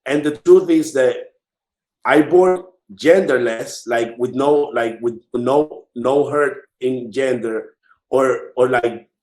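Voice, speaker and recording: A man from the Dominican Republic with a Dominican Spanish accent, chatting casually as an interview guest, haltingly repeating words as he searches for them. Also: mid-range voice, a little mellow, reverberant, poor audio. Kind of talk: casual conversation.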